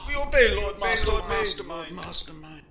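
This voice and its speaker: An actor playing a dazed, obedient young student minion under hypnosis in an audio drama, droning as if in a trance. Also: male voice, sits high, animated, moderate pace. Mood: entranced. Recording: music under the voice.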